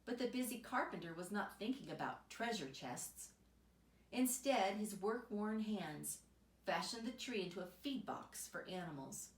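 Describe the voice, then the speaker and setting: A woman reading a children's picture book aloud as an expressive storyteller, recorded in a roomy space away from the microphone. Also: mid-range voice, a little slow. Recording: roomy echo, distant microphone.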